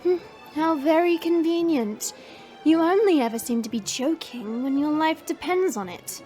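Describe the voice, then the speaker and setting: A woman as a high-pitched, haughty little princess. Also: moderate pace, soft background music, lightly acted. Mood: teasing. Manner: teasing, proud, expressive. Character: haughty, playful, naive small young princess in disguise.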